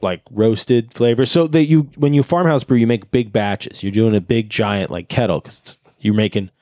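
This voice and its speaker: A man with a lowish voice talks in a loose, rambling way, full of fillers and offhand swearing. He gets nerdy and goes deep into detail. The sound is dry and close, with no room around it. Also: normal speed, a little mellow, dry recording. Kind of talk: casual chat.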